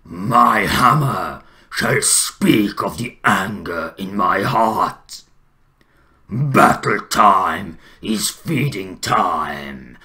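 A man voicing a brooding, eloquent, bitter troll king for fantasy game lines, gravelly and theatrical. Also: sharp tone, heavily acted. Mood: menacing, commanding. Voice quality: deep, gravelly. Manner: slow, theatrical, grandiloquent.

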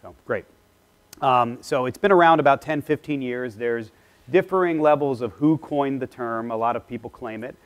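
A man in his forties talks through a keynote on a lapel mic in a large lecture hall. He is casual and self-deprecating, with plenty of "uh" fillers between thoughts. His voice sits at an ordinary middle pitch for a man. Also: moderate pace. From Philadelphia area, US East Coast. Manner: gestures with a clicker while pacing the stage. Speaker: keynote speaker. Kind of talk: conference keynote talk.

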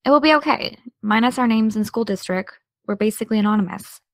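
A woman playing a determined, sardonic teenage podcaster in an audio drama, with a dry, brisk delivery. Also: fairly high pitch, dry recording, close to an everyday voice. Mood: reassuring, confident. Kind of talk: naturalistic audio drama dialogue.

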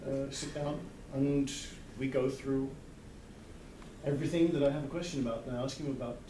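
A man's voice: mid-range voice, steady tone, normal speed, mellow. A man in his sixties speaking thoughtfully and hesitantly as a literary translator in an informal group discussion. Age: 60s. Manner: thoughtful, hesitant, searching for words. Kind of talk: informal discussion at a book club. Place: large indoor hall, small group seated in circle. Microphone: camera a few metres away. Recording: echoey, distant camera mic, background noise.

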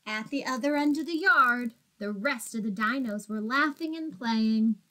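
A young woman teacher with a high voice and a slow pace. She reads aloud to little children in simple phrases, as if explaining to a class. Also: a bit bright. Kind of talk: storybook read-aloud and talk to small children. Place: small plain room indoors.

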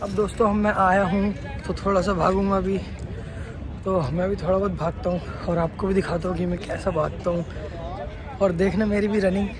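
A man's voice: high voice, little pitch movement, fairly quick. A young man vlogging at an outdoor stadium track with his phone, chatting casually and upbeat to his viewers. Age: young adult. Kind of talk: casual vlog commentary. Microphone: phone camera at arm's length. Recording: outdoor phone audio with crowd noise.

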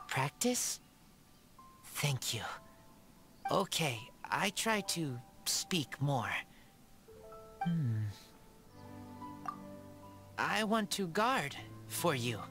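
A feral wolf boy in a game, low voice, super slow halting words, gentle and loyal, music behind. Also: heavily acted. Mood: protective, earnest. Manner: halting, simple broken sentences. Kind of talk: video game character dialogue. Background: game background music.

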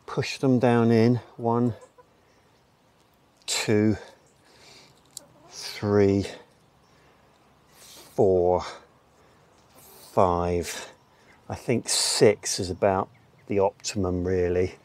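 Older male gardener, slow-paced and chatty, recorded outdoors on a distant camera mic. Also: lowish voice, fairly even intonation, a little mellow. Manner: chatty, explains as he works. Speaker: allotment gardener. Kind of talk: casual gardening vlog. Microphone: camera mic on a tripod at a distance.

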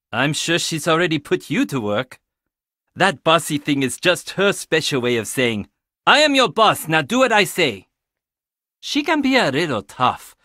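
An animated, easygoing young man. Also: a little high, no echo, studio quality, full character voice. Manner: casual, chatty, wry. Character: easygoing, skeptical young man at a family inn. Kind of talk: video game character dialogue.

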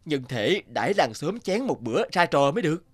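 A man's voice: fairly high pitch, big pitch swings, a little fast. A man voicing a burly, gullible young woodcutter with a boastful, hot-tempered streak in a cartoon. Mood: earnest, proud. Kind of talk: cartoon voice acting.

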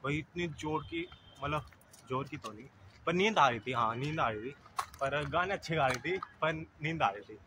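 A man's voice: a little high, animated, normal speed, background noise. A man in his twenties chatting casually to viewers from a phone held at arm's length, joking with a friend. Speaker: vlogger. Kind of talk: casual vlog chat. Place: concert hall, outdoors, then inside a car.

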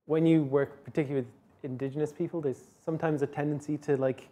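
Mellow-voiced man moderating the Q&A. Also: a little high, steady tone, fast talker. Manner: casual, with frequent fillers. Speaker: event moderator. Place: indoor event room.

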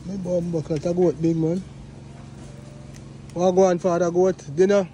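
A man's voice: high voice, little pitch movement, measured pace, dark tone, a little hiss or hum. A man with a Jamaican accent walking through a farmyard, filming on his phone and calling out playfully to the goats and birds. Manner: playful, calls out to the animals. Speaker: vlogger touring a farm. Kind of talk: casual walking commentary. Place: outdoor farmyard with animal pens. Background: farm animals and birds.

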